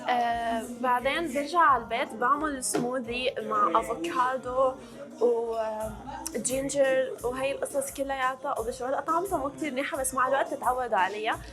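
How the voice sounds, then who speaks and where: High-pitched, fast-talking young Levantine woman. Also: music in the background. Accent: Levantine Arabic. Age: early 20s. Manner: slips English words into her Arabic. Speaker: vlog host. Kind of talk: casual chat to camera.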